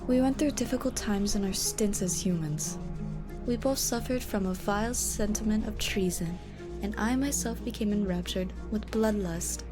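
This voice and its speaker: A woman's voice: medium pitch, normal speed, over music, close to an everyday voice. A woman playing a proud, stern but courteous illusionist in a fantasy audio drama, speaking formally and theatrically. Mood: solemn, commanding.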